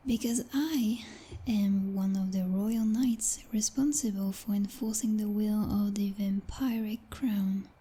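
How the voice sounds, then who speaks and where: A woman playing a possessive, jealous female vampire knight in an ASMR roleplay, whispering in a breathy, condescending and coldly menacing way. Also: mid-range voice, warm tone. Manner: menacing, condescending, deliberate, teasingly formal.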